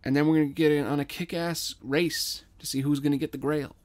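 A man's voice: fairly high pitch, fairly even intonation, fairly quick, darkish tone. A man narrating and hosting a scripted storytelling podcast in a casual way. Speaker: podcast host, writer and narrator.

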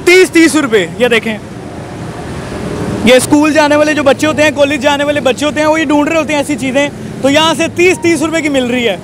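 A young man, presenting a street market to camera in an energetic, repetitive salesman's way. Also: extremely high pitch, fairly quick. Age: 20s. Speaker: street vlogger and host. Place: outdoor roadside market. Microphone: handheld recorder held at chest. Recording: noisy busy street with traffic and crowd. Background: crowd and passing vehicles.

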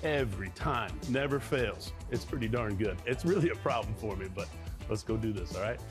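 A man's voice: lowish voice, expressive, moderate pace. A middle-aged man hosting a food show, chatting casually and enthusiastically in short emphatic phrases. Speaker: food show host, chef. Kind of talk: casual on-location food show chat and presenting. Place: restaurant dining rooms. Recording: music behind the voice. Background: music.

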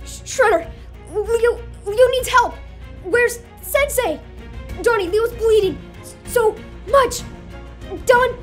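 A woman's voice: very high voice, faint music behind, voice pushed up. A woman voicing a frantic, urgent teenage boy mutant turtle in an amateur comic dub. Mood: distraught. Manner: urgent, strained, halting.

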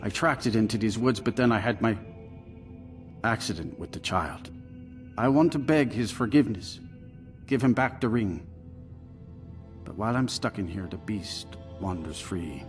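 Low-voiced, brooding cursed werewolf played by a man. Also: expressive, faint music behind, lightly acted. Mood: longing, regretful. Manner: brooding, halting, confessional. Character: remorseful imprisoned werewolf man, cursed and ashamed. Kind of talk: video game voice acting.

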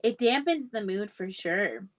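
A woman's voice: medium pitch, expressive, a little slow. A young woman co-hosting a casual book chat on a video call, speaking informally and restating her phrases. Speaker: book club livestream co-host. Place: indoor room at home, on a video call.

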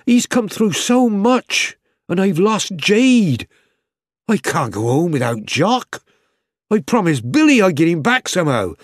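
A man's voice: high-pitched, big pitch swings, measured pace, no room sound, clean studio sound, voice pushed up, exaggerated voice. A man voicing a loyal young soldier in a story, emotional and pleading in manner. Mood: pleading, desperate.

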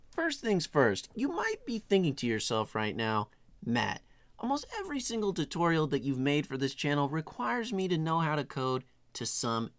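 A middle-aged man hosting a casual screen-share software tutorial in a tongue-in-cheek, playfully exaggerated way. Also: fairly high pitch, dry recording. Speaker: tutorial host.

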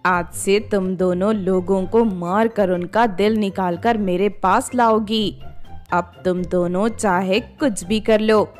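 A woman's voice: mid-range voice, steady tone, takes it slowly, a little mellow, soft background music, lightly acted. A woman voicing a scheming witch in a children's cartoon story, persuasive and bossy in manner. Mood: commanding, menacing. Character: scheming witch, sweet-talking then commanding her captives.

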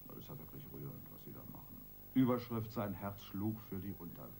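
A senior detective in a German radio crime drama. His low voice is emphatic and mocking, talking down to a subordinate with sarcasm. There is clear noise behind him. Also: sing-song, a little fast, a little mellow, exaggerated voice. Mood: sarcastic, dismissive. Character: sarcastic, domineering senior male police detective.

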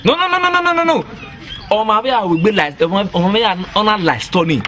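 A man playing a boastful young villager who brags loudly on the phone in a Nigerian village film, recorded outdoors. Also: high voice, animated, brisk. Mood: frantic. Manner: blustering, bragging on the phone. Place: outdoors in a rural village yard. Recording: outdoor recording with noticeable background noise.

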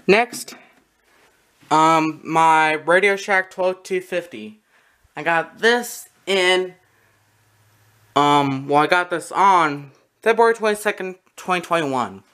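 A person giving a casual, halting, matter-of-fact show-and-tell narration, recorded on a handheld camera in a room at home. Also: medium pitch, fairly bright tone, clear, resonant tone. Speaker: video creator showing a radio collection. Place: small room at home, indoors. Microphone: handheld camera close by.

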